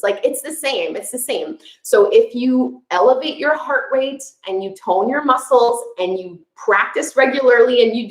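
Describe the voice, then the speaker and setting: A woman's voice: fairly high pitch, roomy sound, rough recording. A young woman from Quebec, a French speaker with a Quebec French accent, hosting a casual live chat as a yoga instructor, chatty, with frequent fillers and laughs. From Quebec, Canada. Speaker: yoga instructor, channel host. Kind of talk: live chat answering viewer questions.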